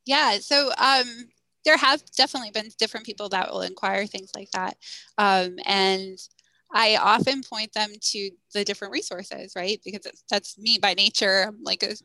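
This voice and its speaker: A woman's voice: mid-range voice, moderate pace, sharp tone, dry recording, low-quality recording. A woman guest, a school counselor and author, answering interview questions in a friendly, thoughtful way with frequent ums.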